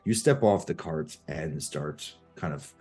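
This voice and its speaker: Very low male game master voice, unhurried and halting, faint music behind. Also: expressive, mellow. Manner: slow, hesitant, descriptive, many fillers. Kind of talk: narrating a tabletop game.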